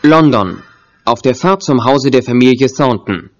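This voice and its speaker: A man's voice: mid-range voice, animated, measured pace, natural voice. A man giving formal, clipped scripted announcements of time and place in a German audio drama. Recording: dull tape with faint music.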